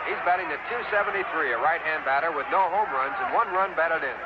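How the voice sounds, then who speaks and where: An American man calling baseball play-by-play in 1960s radio style, in measured, clipped phrases. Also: on the high side. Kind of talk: 1960s radio sports commentary. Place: outdoor ballpark broadcast. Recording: dull noisy old radio broadcast, loud crowd. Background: loud stadium crowd and noise.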